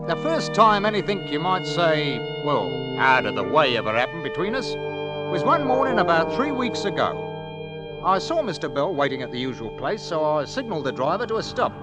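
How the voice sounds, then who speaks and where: Old radio drama bus conductor, highish swooping voice, polite and earnest, loud music behind. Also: male voice. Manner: deferential, halting, earnest. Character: deferential, kindly middle-aged male London bus conductor. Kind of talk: 1940s radio drama acting.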